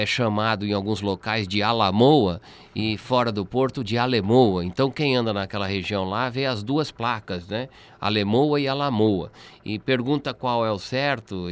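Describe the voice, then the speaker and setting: A man's voice: fairly low pitch, smooth. A man with a Brazilian accent giving explanatory interview answers in a didactic way, often checking with tag questions. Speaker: interviewee, port expert.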